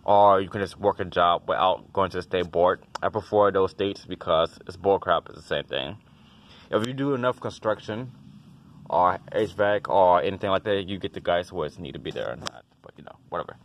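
A very low-pitched man talks through a home inspection in a loose, rambling way, brushing things off with offhand remarks. He holds a phone camera as he walks around the outside of a house and down into a basement. A faint hiss of background noise stays under his voice. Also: normal speed. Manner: rambling, offhand, dismissive asides. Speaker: house inspector and HVAC tech. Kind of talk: casual walkthrough tutorial. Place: outdoors beside a house, then a basement utility room. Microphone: handheld phone camera.

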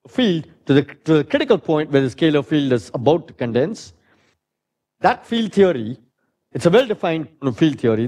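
A middle-aged man with a loud, fairly high voice lectures in a hall. He thinks aloud, dotting his talk with "uh" and "right?", and paces at the front. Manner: thinking aloud with many "uh"s and tag questions. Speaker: physics lecturer. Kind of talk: academic lecture.

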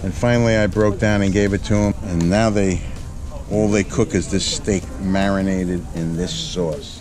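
A man's voice: low voice, fairly even intonation, moderate pace, over music. A man hosting a casual cooking chat outdoors, asking easygoing, curious questions. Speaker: show host. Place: backyard grill, outdoors.

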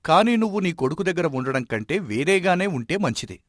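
Male actor as sage village mediator, expressive melodic delivery, admonishing, animated folk tale, close dry recording. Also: on the high side, no room sound. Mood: calm advising. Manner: measured, advising, admonishing. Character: wise, respected village elder who settles disputes. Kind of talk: animated moral story acting.

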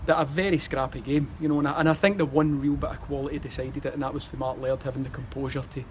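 Fast-talking Scottish football manager, outdoors. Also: male voice, medium pitch, fairly even intonation, slight background noise. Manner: fast, rambling, frequent fillers and restarts. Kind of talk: post-match interview. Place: outdoors by a stadium wall.

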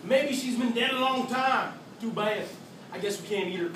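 A young man playing a gloating, easily fooled farmer in children's readers theatre, hammy and drawn-out. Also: very high voice. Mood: disappointed. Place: classroom, recorded by a far camera. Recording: echoey classroom from far off, noisy.

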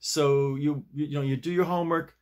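An American man in his sixties chatting casually to camera in a halting, digressive way, often repeating his phrases. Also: on the high side, steady tone, a little mellow. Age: 60s. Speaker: vlogger. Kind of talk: rambling casual chat to camera. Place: small home office, indoors.

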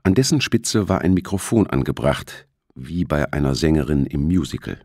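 Male audiobook narrator for a thriller, deep voice, lively intonation, close dry recording. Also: moderate pace, no echo.

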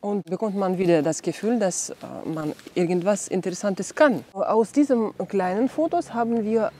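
A woman's voice: medium pitch, moderate pace, dark tone. A young woman from Ukraine, sitting in an outdoor garden and thoughtfully explaining the garden's creative projects, with many fillers. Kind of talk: documentary interview.

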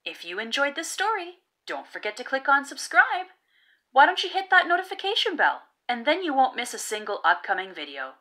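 A woman reads a storybook aloud for little children with hugely varied, swooping intonation. Her voice is bright and a bit high, and she enunciates each phrase deliberately. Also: big pitch swings. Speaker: children's read-aloud host. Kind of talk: picture-book read-aloud for young children.

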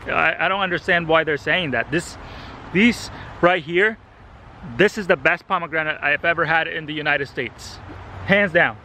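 A man's voice: fairly high pitch, normal speed, sharp tone, a little hiss or hum. A man in his thirties from El Salvador, hosting a casual gardening vlog in a yard and enthusing over the fruit he tastes. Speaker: gardening channel host. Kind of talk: casual outdoor vlog chat. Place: residential yard outdoors. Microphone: handheld camera at arm's length.

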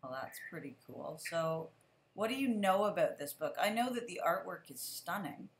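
A woman teacher hosting a casual chat with a guest over a video call, relaxed and chatty. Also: lowish voice, normal speed. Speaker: teacher and show host. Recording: echoey room.

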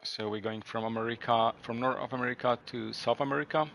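Gravelly man commentating a racing game. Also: medium pitch, steady tone, fast, over music. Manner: reads game dialogue aloud, reacts while driving. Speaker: gaming video host. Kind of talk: casual gameplay commentary. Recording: noisy game audio underneath. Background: racing game engine sounds.

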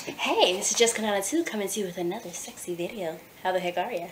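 A young woman chatting and joking with a friend in a small home kitchen, sassy and quick to laugh. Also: medium pitch, echoey room, heavy background noise. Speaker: guest vlogger. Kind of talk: casual chat.

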